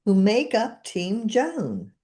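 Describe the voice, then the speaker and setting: A woman's voice: medium pitch, huge pitch swings, takes it slowly, warm tone. A woman in her seventies hosting a podcast, chatting casually and repeating guided phrases after her guest. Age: 70s. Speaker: podcast host and therapist.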